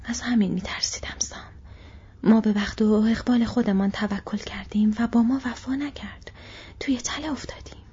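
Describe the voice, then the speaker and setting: A woman voicing a weary, frightened male hobbit who speaks in a hushed whisper, with a husky voice. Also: a little high, steady tone, dull audio, voice pushed up. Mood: despairing.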